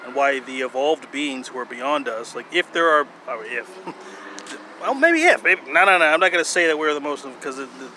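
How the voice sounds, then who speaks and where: A man's voice: fairly high pitch, animated, pushed and bright. Rambling man in noisy outdoor recording. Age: 30s. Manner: halting, repetitive, sardonic, profane. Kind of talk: rambling casual monologue. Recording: clearly noisy outdoor urban ambience, traffic.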